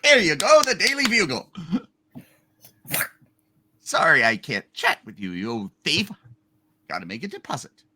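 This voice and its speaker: A man's voice: on the high side, wildly swooping pitch, unhurried, pauses often, bright, forceful tone, full character voice. A man voicing a jolly, teasing uncle with a boisterous, taunting manner in a hammed-up radio play reading. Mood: smug. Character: jolly, teasing, absent-minded uncle gloating over an enemy.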